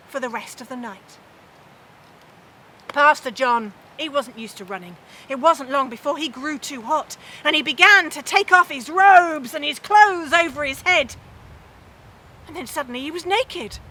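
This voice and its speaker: A woman telling a humorous medieval tale theatrically, with dramatic pauses and emphasis. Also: high-pitched, pushed and bright, a little hiss or hum. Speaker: storyteller.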